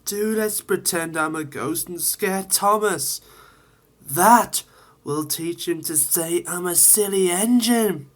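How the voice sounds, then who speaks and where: A man's voice: sits high, takes it slowly, voice pushed up. A man voicing a playful, mischievous young male engine who teases and chuckles. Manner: chuckling, teasing.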